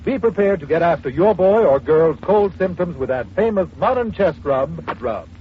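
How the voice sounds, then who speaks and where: A man's voice: fairly high pitch, expressive, moderate pace. A man reading a scripted commercial as an announcer in formal, polished 1940s radio style. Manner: formal, polished selling delivery. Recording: muffled old radio, faint hiss.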